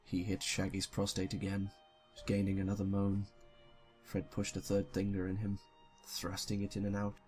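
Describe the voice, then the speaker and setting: A young man reading a story aloud and talking casually as a comic YouTuber. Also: sits low. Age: young adult. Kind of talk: scripted story narration and casual comic commentary.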